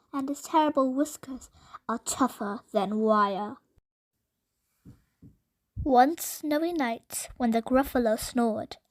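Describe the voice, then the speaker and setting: A woman narrating a children's bedtime story in an expressive, deliberate, dramatic read-aloud style. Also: high voice, no room sound.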